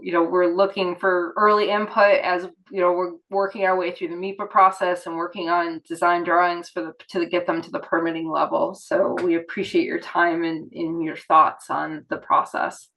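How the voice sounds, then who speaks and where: Woman, hoarse from a cold, flat pitch, measured, public meeting. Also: low-quality recording. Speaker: project representative at a public meeting.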